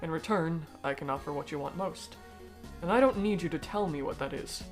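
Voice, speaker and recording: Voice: medium pitch, faint music behind, close to an everyday voice. A performer in a fan dub voicing a cold, aristocratic alien noble who speaks formally and eloquently, bitter yet persuasive. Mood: calm, persuasive. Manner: formal, eloquent, measured speech. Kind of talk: fan-dub voice acting of comic dialogue.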